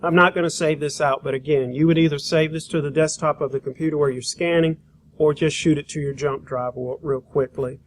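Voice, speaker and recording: A man giving an informal, hands-on software demonstration as an instructor, talking in a casual, rambling, folksy way. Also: on the high side, fairly even intonation, fairly quick. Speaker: graphic design instructor. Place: school computer lab.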